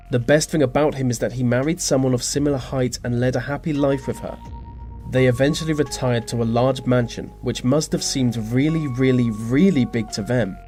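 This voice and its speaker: A man reading scripted narration for a list video, slipping into dry, deadpan asides. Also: medium pitch. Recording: music behind the voice. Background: background music.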